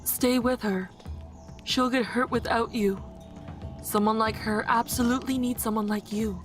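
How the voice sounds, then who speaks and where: A woman playing a gentle, wise and playfully fond goddess in an audio drama, speaking reflectively. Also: on the high side, fairly even intonation, measured pace, soft background music, natural voice.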